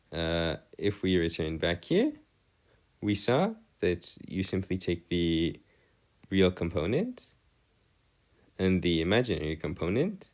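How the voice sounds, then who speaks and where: A man tutoring in an informal maths lesson, with formal wording and a halting, self-correcting delivery. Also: deep voice. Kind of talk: informal maths lesson over a digital whiteboard.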